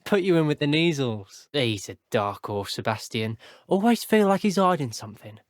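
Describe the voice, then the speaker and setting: Polite British teenage schoolboy wizard. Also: male voice, on the high side, very animated, normal speed, no echo, clean studio sound. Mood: friendly, teasing. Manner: well-mannered, old-fashioned British phrasing. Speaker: voice actor. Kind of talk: scripted video game character voice clips.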